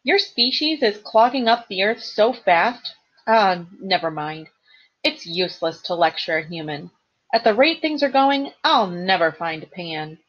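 Woman putting on a young male satyr: earnest, plaintive, gently husky, on a dull lo-fi recording. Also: mid-range voice, expressive, measured pace. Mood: reproachful.

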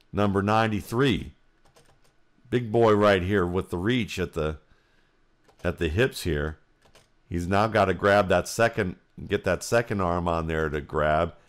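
Deep-voiced American man analysing film. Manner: explanatory, thinking aloud. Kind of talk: play-by-play film breakdown commentary.

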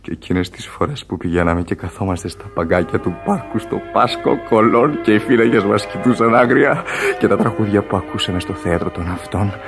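A dying sailor in a Greek radio drama, with a lowish voice. He is weary, feverish and full of regret, and his halting words drift toward whispers. Faint music lies behind him. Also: animated. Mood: hazy nostalgia. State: weak, in pain. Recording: radio play with music underneath.

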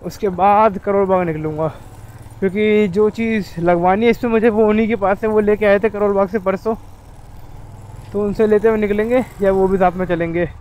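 A man's voice: high voice, fairly even intonation, moderate pace, a little mellow. A man, chatting casually and informally to viewers as a motovlogger, often grumbling like he would to buddies. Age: 20s. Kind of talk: casual chat to camera. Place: on a motorbike in city streets, later inside a small helmet shop. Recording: noisy street and traffic sound. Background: traffic and vehicle noise.

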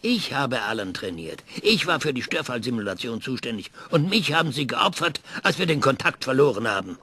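An actor playing an older retired space scientist, halting in the interview and sharp and demanding on the phone in a radio play. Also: male voice, mid-range voice, sing-song, husky, no room sound, full character voice. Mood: indignant. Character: retired male scientist, gruff and indignant.

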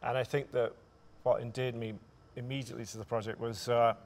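A man in his forties with a noticeably gravelly voice of medium pitch speaks as a writer on a stage panel. He tells his account in measured, thoughtful phrases, often halting as he goes. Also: flat delivery, a little mellow. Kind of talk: panel discussion, storytelling. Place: theatre stage, indoors.

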